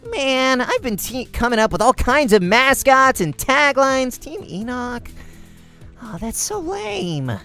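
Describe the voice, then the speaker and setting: Man doing a brash, theatrical kid gamer, raised pitch. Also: very animated, slow pace, sharp tone, clean tone, faint music behind, heavily acted. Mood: whiny, excited. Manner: theatrical, boisterous. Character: brash, childish young male gamer, theatrical complainer. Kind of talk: amateur visual novel character acting.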